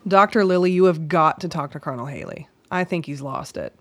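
A woman's voice: low voice, expressive, fairly quick, a bit bright, dry recording, full character voice. An actress playing a feisty, foul-mouthed young woman in a radio play, agitated and sarcastic. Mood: agitated, indignant.

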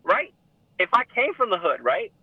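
Male co-host, slightly high voice, rambly, heard over a thin phone connection. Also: huge pitch swings, normal speed, husky, dry recording. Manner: rambling, restarts with emphatic pitch jumps. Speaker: podcast co-host. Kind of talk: casual chat. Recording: tinny phone-like sound.

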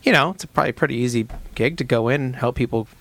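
A man from the United States hosts the interview in a dry, close-sounding recording. His voice is mid-pitched, and he asks long, rambling questions while thinking aloud. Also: very fast, creaky, a bit bright, dry recording. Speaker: podcast host. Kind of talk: conversational interview.

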